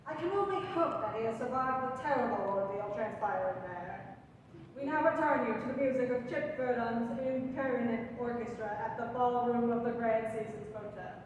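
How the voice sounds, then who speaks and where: A woman's voice: a little high, normal speed, lightly acted. A woman playing an earnest old-time radio announcer in a theatrical drama read. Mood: solemn. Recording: very echoey hall, distant, faint noise.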